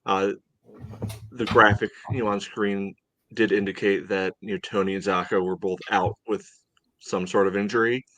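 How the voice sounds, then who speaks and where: A man with a fairly low voice co-hosts a casual sports podcast. He breaks things down in a measured, hedging way, leaning often on "you know". The sound is dry, with little room in it. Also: level tone, a bit bright, no echo, low-quality recording. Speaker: podcast co-host. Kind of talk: casual sports analysis chat.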